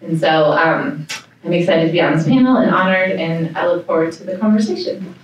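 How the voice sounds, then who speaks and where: A woman's voice: lowish voice, brisk. A woman in her thirties, a company founder introducing herself on a panel, upbeat and earnest, speaking into a handheld mic. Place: conference room panel. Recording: very echoey room, distant pickup.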